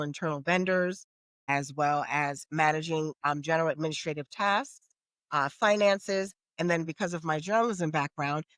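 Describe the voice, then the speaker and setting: A woman's voice: lowish voice, fairly bright tone, no room sound. A middle-aged American woman answering questions as a guest in a conversational podcast interview. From United States.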